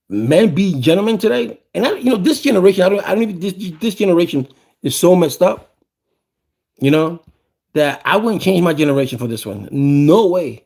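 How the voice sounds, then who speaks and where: A man of about fifty with a gravelly voice, talking casually and with opinions, often repeating phrases for emphasis. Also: on the high side, darkish tone. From South Bronx, New York, USA. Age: around 50. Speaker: host.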